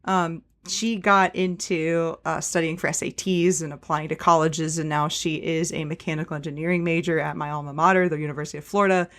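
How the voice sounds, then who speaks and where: Chatty woman with a low voice. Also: little pitch movement, a little fast, few pauses, fairly bright tone. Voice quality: fairly deep voice for a woman. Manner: chatty, frequent fillers like "um" and "like". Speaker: podcast guest. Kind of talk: casual interview chat.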